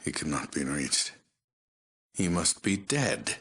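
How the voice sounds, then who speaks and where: Slow, deep-voiced mutant overlord. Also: male voice, big pitch swings, fairly bright tone, breathy edge, heavily acted. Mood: cold, menacing. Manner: slow, commanding, imperious. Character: deep megalomaniac male mutant overlord, cold and menacing. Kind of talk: video game villain voice acting.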